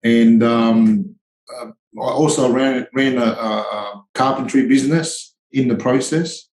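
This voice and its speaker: A man's voice: medium pitch, fairly even intonation, measured pace, darkish tone, roomy sound, rough recording. A man in his forties from Tonga, a tradie and charity founder, speaking hesitantly in a podcast interview with many ums and restarts.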